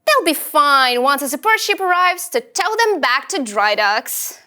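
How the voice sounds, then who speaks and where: A woman voices an eager young female pilot in a sci-fi comedy read. Her voice is very high, bright and lively. She gives reports in a perky, matter-of-fact way. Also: a little slow, heavily acted. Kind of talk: table read of comic sci-fi game script.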